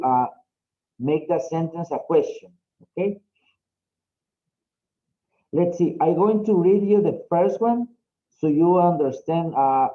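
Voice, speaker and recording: A man teaching an English class, explaining slowly and often checking in with "okay?". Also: fairly high pitch. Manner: slow, drawn-out, frequent "okay?" checks. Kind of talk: online class instruction. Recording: dull lo-fi audio.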